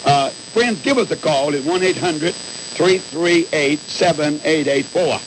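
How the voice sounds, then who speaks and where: Male call-in radio preacher, earnest and emphatic, slightly high pitch, faintly noisy broadcast sound. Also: unhurried. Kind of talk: live religious call-in radio talk.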